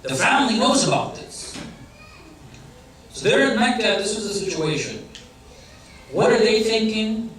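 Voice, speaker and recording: A man's voice: sits high, big pitch swings, unhurried, pauses often, a bit bright. A man giving a religious lecture in a conversational way, often putting questions to the audience. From Iranian family background. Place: large hall or center. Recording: very echoey hall, heard from a distance.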